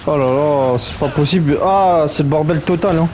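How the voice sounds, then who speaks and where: A man's voice: fairly high pitch, normal speed, a little mellow, slight background noise. A man commenting on a game as he plays, in a chatty, joking, reactive way. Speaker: gaming streamer. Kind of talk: let's play commentary.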